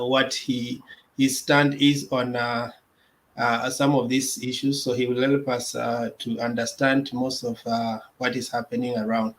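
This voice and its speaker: A man hosting an interview, introducing his guest hesitantly with many pauses. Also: medium pitch, fairly bright tone, slight background noise, rough recording. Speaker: interviewer and host.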